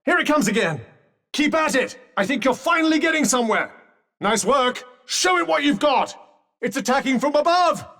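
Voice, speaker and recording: High-pitched, witty British magical companion. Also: male voice, lively intonation, normal speed, recorded from a distance. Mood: excited, alarmed. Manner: sardonic, eloquent, urgent coaching. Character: talking magic cuff, dry witty male battle companion. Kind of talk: video game companion voice lines.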